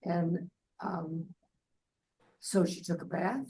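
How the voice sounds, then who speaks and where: A woman's voice: fairly low pitch, slow, dark tone, slight background noise, poor audio. An older woman reflecting earnestly on a story in a casual book discussion. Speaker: book club member.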